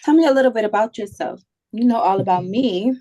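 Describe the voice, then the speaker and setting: Woman as mellow, curious date. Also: medium pitch, fast talker, lightly acted. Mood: curious, playful. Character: curious, casual woman on a getting-to-know-you date. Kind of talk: dramatic table read.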